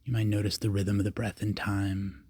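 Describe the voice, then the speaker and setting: A man leading a guided meditation, giving calm, simple, repeated instructions with long pauses between them. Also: lowish voice, breathy edge, no room sound. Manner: calm, repetitive, unhurried cues with long pauses.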